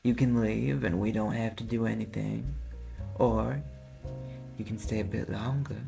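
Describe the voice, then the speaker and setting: An ancient, alluring incubus played by a man speaks in a low Southern drawl with a heavy fry. He is suave and teasing, addressing the listener intimately as in a roleplay audio drama, over soft music. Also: steady tone, a little slow. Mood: flirtatious, teasing. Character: alluring male incubus, ancient suave flirtatious business dealer.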